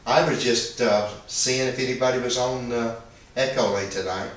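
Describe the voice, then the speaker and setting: A man's voice: mid-range voice, steady tone, moderate pace. A man making casual two-way radio calls as an amateur operator, speaking in a hesitant, apologetic way. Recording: echoey, distant, noisy internet radio link.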